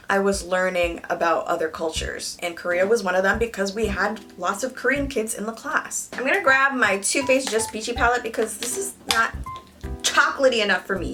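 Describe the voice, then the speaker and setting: A young woman from Canada chatting candidly to her viewers while she does her makeup. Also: mid-range voice. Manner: candid, animated, talks to the viewers directly. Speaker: beauty vlogger. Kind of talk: casual chat while doing makeup. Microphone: camera mic, close.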